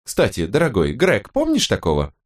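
A man voicing an irritated, sarcastic, worn-out young wife and mother in an audiobook, with a sharp, nagging manner. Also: on the low side, huge pitch swings, normal speed, pitched above the performer's own voice. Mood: bitter sarcasm. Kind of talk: audiobook character voice.

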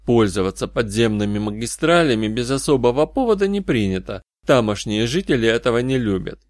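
A man narrating a first-person fantasy detective audiobook in a measured, literary storytelling manner. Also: medium pitch, expressive, no room sound, studio quality.

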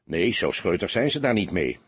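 A man's voice: deep voice, big pitch swings, moderate pace, a bit bright, slightly rough, no echo, heavily acted. A man voicing a nervous, defensive young soldier in an audiobook, stammering and hesitant, sometimes indignant. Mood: guarded.

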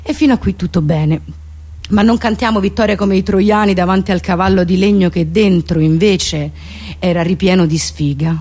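A woman's voice: on the low side, moderate pace, warm tone. A woman delivering a theatrical comic monologue in a mock-solemn, rambling and colloquial way.

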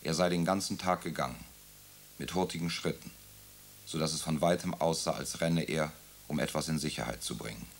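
A man narrating a radio drama in a calm, measured way. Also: extremely low pitch. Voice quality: gravelly.

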